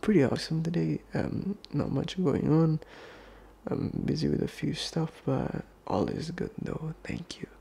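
A man's voice: fairly high pitch, animated, unhurried, gravelly, dark tone, breathy edge. A young man hosting a podcast in a laid-back, casual chat, switching between Indonesian and English with a British-leaning accent and sometimes dropping to a near-whisper. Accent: Indonesian-tinged British English. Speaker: podcast host. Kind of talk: casual chat answering fan questions.

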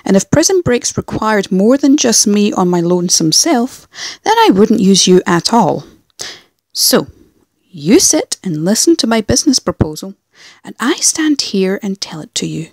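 A woman's voice: medium pitch, big pitch swings, normal speed, a little mellow, no room sound, studio quality. A woman playing a cocky, menacing rogue in an audio drama, taunting and self-assured. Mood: cool menace. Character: cocky, mocking, menacing female rogue troublemaker. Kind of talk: fan audio drama acting.

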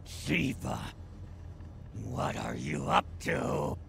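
An actor playing a scheming, irascible military commander in a video game, barking and imperious, with a menacing manner. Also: male voice, medium pitch, steady tone, drawn-out words, creaky, background noise, full character voice. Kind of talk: cartoonish video game villain acting.

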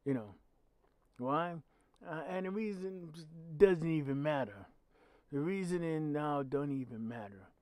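A man's voice: fairly high pitch, expressive, unhurried, darkish tone, dry recording. A man with a growly voice, hosting his own channel and giving blunt, emphatic commentary with swearing. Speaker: channel host. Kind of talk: solo commentary podcast.

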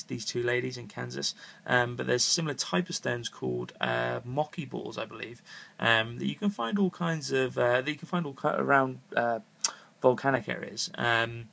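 A man's voice: medium pitch, gravelly, a bit bright. A man hosting a casual show-and-tell, talking in a rambling, hesitant way with frequent restarts, recorded indoors at home by a camera aimed at his hands on a table. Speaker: show host.

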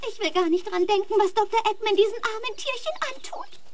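An actress dubbing a cheerful young female hedgehog heroine in a video game, with a bright, girlish voice. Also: very high voice, fairly quick, slight background noise. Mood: pleading. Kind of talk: German video game dub. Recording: game audio with loud music.

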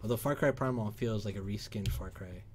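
A man's voice: on the low side, a little fast. A man in his thirties from Cuba, hosting a casual livestream and reading chat questions aloud through a headset. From Cuban. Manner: upbeat, reads chat aloud, sings along. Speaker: livestream host. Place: workshop studio indoors.

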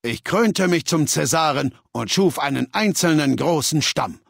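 An actor playing a ruthless, learned middle-aged warlord in a video game, speaking in a measured, authoritative, lecturing way with cold arrogance. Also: male voice, a little high, lively intonation, creaky, husky, no room sound, clean studio sound, heavily acted. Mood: lecturing, proud. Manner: measured, authoritative, lecturing, coldly matter-of-fact. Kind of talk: scripted video game dialogue in German.

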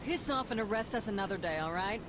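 A woman's voice: medium pitch, lively intonation, fast, heavy background noise, lightly acted. A woman playing a tough, sardonic gang boss in a video game, blunt and cocky. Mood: sardonic, defiant. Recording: loud music behind.

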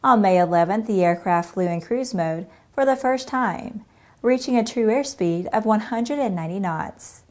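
A young woman anchoring a news show in a studio, reading a script in a steady, even newsreader delivery. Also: mid-range voice, normal speed. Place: studio in front of a green screen.

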